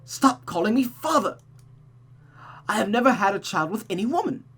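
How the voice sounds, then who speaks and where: A woman's voice: mid-range voice, lively intonation, moderate pace, slightly rough, pitched above the performer's own voice. A woman voicing a proud, defensive man in a visual novel, sputtering with indignation and panic. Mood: furious denial. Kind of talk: visual novel character read-aloud.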